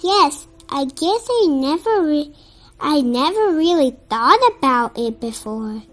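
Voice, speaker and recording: Very high, slow-reading child's voice. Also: animated. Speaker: storybook reader. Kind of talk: children's picture book read-aloud.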